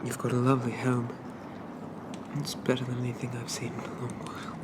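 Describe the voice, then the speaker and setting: A man playing a shy, polite undead zombie in a soft ASMR roleplay, stammering and hesitant in a gently formal way. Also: mid-range voice, completely flat delivery, fairly quick, very noisy, lightly acted. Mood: grateful, bashful.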